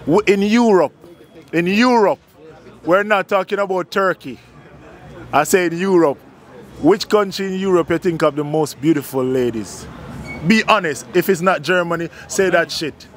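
Playful Jamaican-born street interviewer, high voice. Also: male voice, moderate pace. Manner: playful, teasing, loud exclamations. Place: busy city street at night, outdoors. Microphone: handheld mic. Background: crowd noise.

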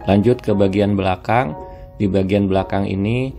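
Guy with a lowish voice doing a house tour, explaining as he goes, guitar music playing clearly behind him. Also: steady tone, normal speed, dark tone. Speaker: real estate agent presenting a house tour. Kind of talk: walk-through property review narration. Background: plucked guitar music.

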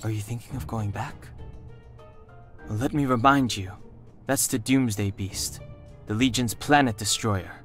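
A man voicing a serious, cautious young man in a video game, with a measured, stern and deadpan delivery. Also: mid-range voice, faint music behind, close to an everyday voice. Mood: grave, measured. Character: serious, cautious, reserved young male companion.

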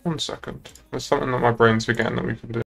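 A man's voice: medium pitch, fairly even intonation, quick pace, vocal fry, fairly bright tone, faint music behind. A young British man chatting casually and laddishly on a gaming livestream. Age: young adult. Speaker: game streamer. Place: indoor room at a streaming desk.

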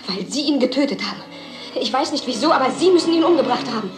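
Vengeful young woman: high voice, desperate, intense, crime radio drama with clear music behind. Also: lively intonation, quick pace, echoey room. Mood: anguished, accusing. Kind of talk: German crime radio play acting.

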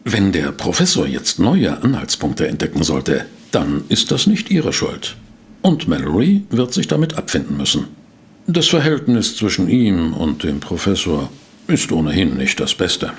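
An actor playing an eager, shrewd male investigator in a German audio drama, with a gravelly, husky voice and expressive delivery. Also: low voice, a little slow, full character voice. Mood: reassuring.

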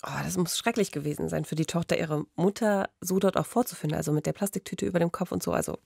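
Young woman, vocal fry, very dry studio sound, podcast co-host, questioning and commenting. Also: fairly low pitch, fast, darkish tone, dry recording. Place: small radio studio. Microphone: close studio boom mic with pop filter.

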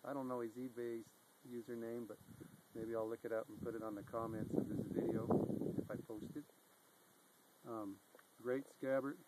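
A man's voice: medium pitch, normal speed. Rambling man reviewing gear outdoors in wind. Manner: relaxed, rambling with many ums and uhs. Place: outdoors on rocks in open dry country. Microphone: camera on a tripod a few feet away. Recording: loud wind and outdoor noise. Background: steady outdoor noise.

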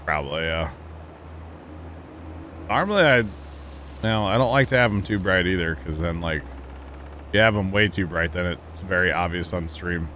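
A man's voice: low voice, normal speed, long pauses, sharp tone, a little hiss or hum. A man hosting a casual gaming livestream from home, chatty and laid-back, laughing often with a co-player. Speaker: livestream host. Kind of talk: casual gaming stream chat. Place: home streaming setup.

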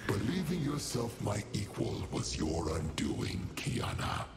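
An actor voicing an ancient, imperious giant scorpion creature in a video game, with menacing, solemn pronouncements and a gravelly, monstrously distorted voice. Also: male voice, lowish voice, wildly swooping pitch, slow pace, heavily acted. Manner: grandiose, solemn, threatening pronouncements. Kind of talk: theatrical video game voice lines. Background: music.

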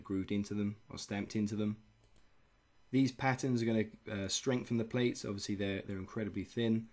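A man's voice: lowish voice, a little mellow. A young man explaining how a machine works in a plain, unscripted way, with frequent filler sounds. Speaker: engineering educator. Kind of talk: explanatory tutorial narration.

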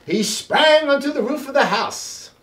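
A middle-aged man reads aloud to children in an expressive, theatrical way with deliberate phrasing. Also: very high voice. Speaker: children's storybook reader. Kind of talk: animated read-aloud for children. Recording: roomy, echoing sound.

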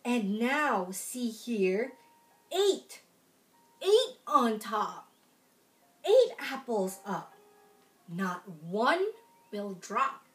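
A young woman reads a picture book to small children in a high, swooping voice. She goes slowly and plays up the phrases with exaggerated storyteller expression, with faint music in the recording. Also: sing-song. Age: young adult. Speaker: children's library storytime reader. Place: room at home, in front of a folding screen.

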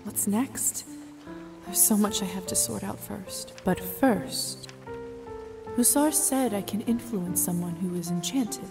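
Young fledgling vampire gentlewoman for a game. Earnest, unsure, medium pitch, clear music bed. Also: takes it slowly. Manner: hesitant, questioning, earnest. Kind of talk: video game voice acting.

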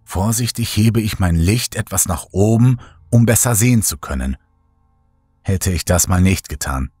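A man narrating a horror audiobook in the first person, measured, somber and suspenseful, with dramatic delivery. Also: deep voice, lively intonation, dark tone, husky, no echo, faint music behind.

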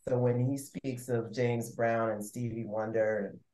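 A man's voice: mid-range voice, level tone, warm tone. Thoughtful man in a roomy space. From New York and Maryland. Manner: thoughtful, measured, trailing off at times. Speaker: musician guest. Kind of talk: casual interview. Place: indoor room with patterned wall. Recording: roomy echo.

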